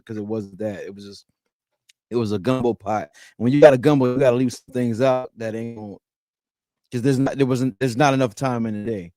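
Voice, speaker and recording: A man's voice: medium pitch, no echo. Emphatic man on a dry mic. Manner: emphatic, repeats words when excited. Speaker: podcast host. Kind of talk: casual livestream chat.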